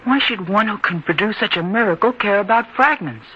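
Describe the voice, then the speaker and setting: An actor playing an earnest, stubborn young son in an old-time radio drama, speaking in an eager, theatrical way. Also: male voice, high voice, a little fast, sharp tone, husky, exaggerated voice. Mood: puzzled. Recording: dull, noisy old-time radio recording.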